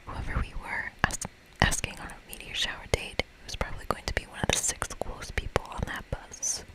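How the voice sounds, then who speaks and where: A woman whispering breathily in an ASMR style as she hosts a game playthrough, reading aloud and commenting softly. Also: poor audio. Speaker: ASMR gaming host.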